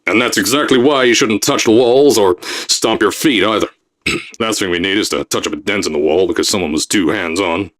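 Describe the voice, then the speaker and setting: Guy doing a loud bossy company head, all bluster and sales pitch, game voice. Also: brisk, natural voice. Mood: boastful. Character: brash blustering male company boss. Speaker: voice actor in a game mod. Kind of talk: pre-recorded announcement messages.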